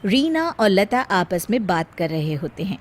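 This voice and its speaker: A woman's voice: medium pitch, big pitch swings, measured pace, very noisy. A woman narrating a scripted story in the role of storyteller.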